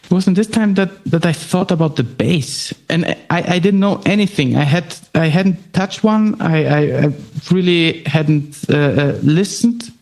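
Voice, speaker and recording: Hesitant raised man, gravelly voice. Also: a little high, darkish tone. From Spain. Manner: halting, searching for words with frequent restarts. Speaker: guest musician. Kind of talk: conversational interview.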